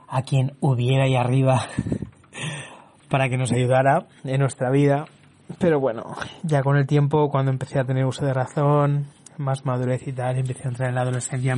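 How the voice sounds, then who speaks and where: A man's voice: medium pitch, a little mellow. A young man from Barcelona with a Barcelona Spanish accent, hosting a casual solo podcast, chatty and laughing often as he restarts his words. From Spain. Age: young adult. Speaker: podcast host.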